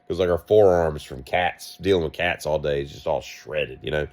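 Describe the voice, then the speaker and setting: A man with a deep voice, sick and coughing, telling a rambling, wry story in a vlog. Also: normal speed, darkish tone. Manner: wry, self-mocking, meandering asides. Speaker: vlogger.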